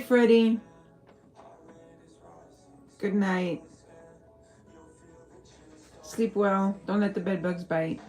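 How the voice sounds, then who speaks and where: A woman's voice: on the low side, darkish tone. A woman from El Paso with a Texan accent, chatting casually on a livestream, rambling and swearing freely. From El Paso, Texas. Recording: faint music behind.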